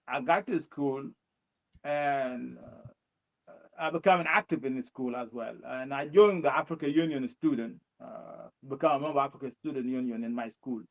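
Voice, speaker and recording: A man with a South Sudanese accent, speaking English learned later in life, answering interview questions in a halting way with many restarts. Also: mid-range voice. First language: his mother tongue and Arabic. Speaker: guest, presidential candidate. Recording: dull lo-fi sound.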